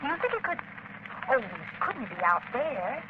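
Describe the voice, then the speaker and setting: A woman's voice: high voice, very animated, moderate pace. An actress playing a worried young woman, companion to a crime fighter, in 1930s radio style. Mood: alert, uneasy. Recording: noisy muffled 1930s radio broadcast.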